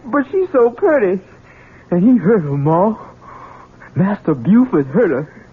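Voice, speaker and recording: An actor playing a simple-minded, childlike grown son in an American radio drama, speaking in a halting, pleading rustic way. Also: male voice, sits high, sing-song, husky, exaggerated voice. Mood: distressed, pleading. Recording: tinny band-limited old radio broadcast.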